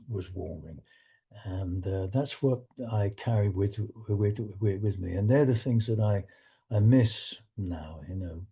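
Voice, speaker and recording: An older man reminiscing in an interview in a reflective, halting way, often pausing and repeating words. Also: sits low, dark tone. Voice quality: husky. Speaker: musician recalling past studio sessions.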